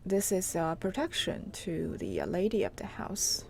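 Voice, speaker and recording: A woman reporter giving an unscripted live tour on location, in a halting, hesitant way with pauses mid-phrase. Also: fairly low pitch, huge pitch swings. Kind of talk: unscripted live walk-through narration. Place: on location inside an old house. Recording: clearly noisy on-location live recording.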